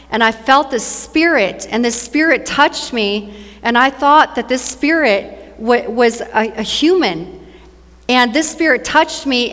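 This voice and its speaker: A woman preacher speaks in a fairly high, soft voice, with long reverb from a large church hall around her. She shares her testimony earnestly and repeats key phrases to press them home. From northern India. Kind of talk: sermon and personal testimony to an audience. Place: large church stage, indoors.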